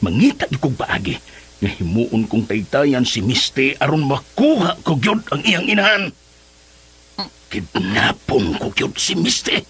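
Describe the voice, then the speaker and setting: An actor with a Filipino accent playing a scheming, lovestruck male villain in a radio drama, speaking in a rough, exaggerated way. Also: medium pitch, big pitch swings, a bit bright, full character voice. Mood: gleeful scheming. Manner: slow, exaggerated, swinging delivery.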